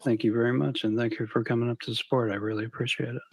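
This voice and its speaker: A man speaking casually as an interview guest, in a halting, hesitant way. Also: mid-range voice, level tone, vocal fry, a little mellow, poor audio. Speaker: interview guest, series creator.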